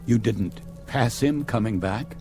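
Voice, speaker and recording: A man's voice: mid-range voice, measured pace, darkish tone, natural voice. An actor playing a stern captain in a vintage TV drama, commanding and sarcastic, fiercely protective of his crew. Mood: concerned. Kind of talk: mid-century television drama acting. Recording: music under dialogue.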